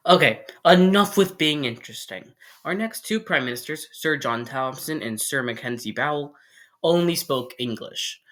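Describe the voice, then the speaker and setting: A man's voice: a little high, lively intonation, normal speed, a bit bright. A young man narrating a casual explainer video with jokey, sarcastic asides. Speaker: video creator.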